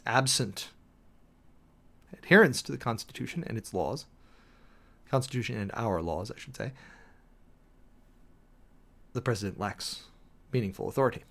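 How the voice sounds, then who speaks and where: A man giving a dramatic reading and close commentary in a formal, deliberate, sermon-like manner with emphatic pauses. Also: medium pitch, animated, vocal fry, fairly bright tone. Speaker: preacher and commentator hosting a show.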